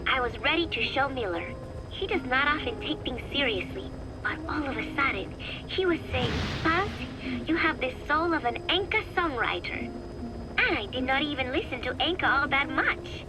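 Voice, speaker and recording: Wistful young female spy for a game, taped diary, soft and fairly high, clear music behind. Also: rough recording. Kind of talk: intimate dramatic video game monologue, taped diary.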